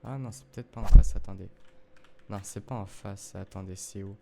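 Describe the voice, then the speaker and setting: A man's voice: lowish voice, steady tone, normal speed, gravelly, heavy background noise. A man talking through a gameplay tutorial in casual French, rambling with many fillers and self-corrections as he addresses his viewers. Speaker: gaming youtuber.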